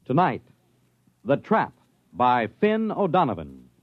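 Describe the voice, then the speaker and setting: A man's voice: medium pitch, wildly swooping pitch, takes it slowly. An American man giving a theatrical, portentous introduction to a 1950s science fiction radio show. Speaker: radio drama announcer. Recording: dull vintage radio recording. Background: loud dramatic music.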